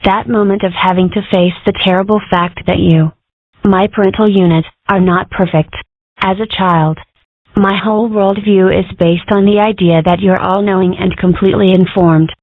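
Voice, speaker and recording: A woman's voice: medium pitch, fairly even intonation, moderate pace, dry recording, close to an everyday voice. A woman voicing a precocious, argumentative, mischievous young schoolgirl in a comic strip dub, talking in a wordy, theatrical way. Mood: earnest. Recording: muffled lo-fi phone-like home recording.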